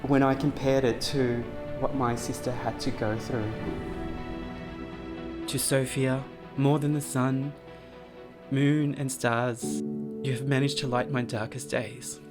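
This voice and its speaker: Soft-spoken Cambodian-born man. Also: medium pitch, level tone, over music. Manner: soft-spoken, earnest, pausing with frequent ums. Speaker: business founder. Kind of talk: documentary testimony and reflective narration.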